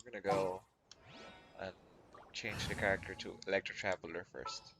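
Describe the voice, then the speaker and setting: A man's voice: deep voice, fairly even intonation, normal speed, vocal fry, noisy background. A man giving casual, playful, chatty commentary as he plays a video game. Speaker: gaming vlogger. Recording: game music faintly behind.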